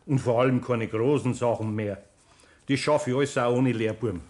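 A man's voice: medium pitch, moderate pace, darkish tone, natural voice. An older man with a Bavarian accent playing a good-natured master carpenter in a 1960s German radio play, homely and hesitant. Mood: reluctant.

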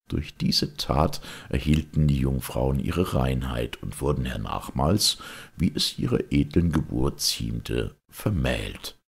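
Male storyteller, very deep, creaky and gravelly, measured expressive delivery, scripted legend narration.

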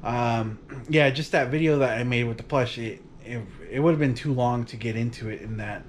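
A man in his thirties talks loosely to the camera from his couch at home, in a middle-pitched voice. He rambles and laughs as he wraps up a trip. The sound is picked up by a camera across the living room. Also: normal speed, a little hiss or hum. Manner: relaxed, rambling, laughs often. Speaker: vlog host. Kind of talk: casual chat to camera.

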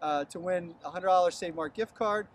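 A chummy American man with a high-pitched voice hosts an informal interview. He sounds upbeat and familiar, like he is chatting with a friend. The recording is outdoors, with faint background noise. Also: normal speed. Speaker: host. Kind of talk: casual upbeat promotional interview. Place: outdoors on an empty baseball stadium field.

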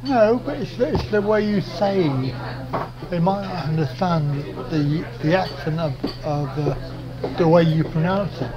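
A man's voice: a little high, unhurried, lo-fi sound, a crowd in the background. A middle-aged man with a Southern English accent, vlogging chattily and wryly as he reads a restaurant menu. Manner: chatty, wry, exclaims at prices. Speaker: travel vlogger. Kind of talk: casual vlog chat. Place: small dark restaurant, indoors. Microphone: camera across the table.

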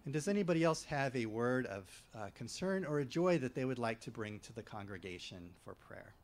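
A middle-aged man, the pastor, leading prayers in a measured, gentle way into a stand mic at an outdoor church service. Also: medium pitch, animated. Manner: measured, gentle liturgical delivery. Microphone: stand mic at a lectern.

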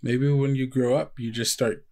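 A man's voice: mid-range voice, level tone, normal speed, dark tone, close to an everyday voice. A man playing a curious, breezy young girl in a tabletop role-playing game. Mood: matter-of-fact.

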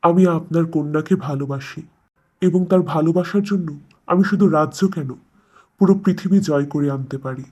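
A man voicing a commanding royal in a cartoon fairy tale, with a husky voice and an earnest, formal storybook delivery. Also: a little high, normal speed, a little mellow, close to an everyday voice. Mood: earnest devotion.

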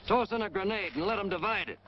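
A man's voice: high voice, quick pace. An American man narrating a 1940s military training film in a hard-boiled, punchy, emphatic way. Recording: dull, noisy 1940s film soundtrack.

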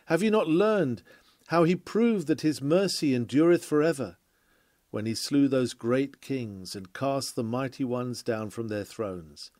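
A man's voice: mid-range voice, unhurried, a little mellow, no room sound. Man reading a sermon, dry sound. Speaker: reader of a historical sermon. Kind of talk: sermon read aloud from a script.

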